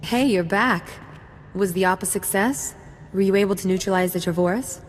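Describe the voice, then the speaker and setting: A woman's voice: medium pitch, moderate pace, in a large hall, a little hiss or hum, close to an everyday voice. A woman playing a confident, wry young special forces sergeant in a video game, casual and playful. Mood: friendly, businesslike. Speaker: soldier giving mission briefing. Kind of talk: scripted game dialogue.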